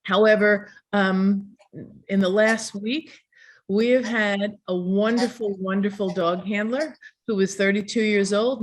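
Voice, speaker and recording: An older woman speaks on a community video call in a mid-range voice. She talks earnestly and a little ramblingly, with frequent fillers and restarts. The sound comes through a video call mic. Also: medium pitch.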